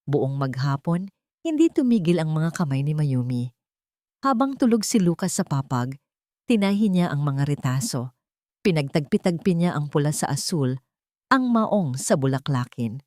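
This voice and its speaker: A Filipino woman reads a dramatic story as its narrator. Her voice sits a little low and is very soft-edged and mellow. The sound is dry and close, with no room around it. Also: sing-song, moderate pace, no room sound.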